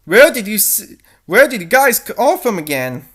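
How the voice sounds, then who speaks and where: Reader doing a dismissive cartoon café owner, animated pitch. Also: moderate pace, breathy edge. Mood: curious.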